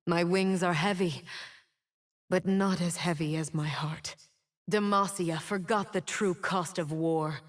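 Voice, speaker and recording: A woman's voice: fairly low pitch, fairly even intonation, lightly acted. An actress voicing a proud, wounded, immortal winged woman in a video game, speaking with solemn, dramatic defiance. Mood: wounded, defiant. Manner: slow, solemn, dramatic delivery. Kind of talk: scripted game character voice lines.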